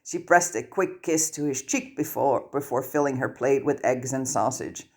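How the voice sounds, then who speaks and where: A woman's voice: extremely low pitch, normal speed. A woman narrating fan fiction as an audiobook, reading scripted prose.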